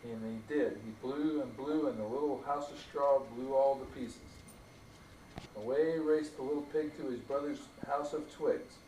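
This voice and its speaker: A man's voice: mid-range voice, steady tone, normal speed, warm tone. An older man, a grandfather, reading a bedtime storybook aloud to young children. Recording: roomy, distant mic, faint noise.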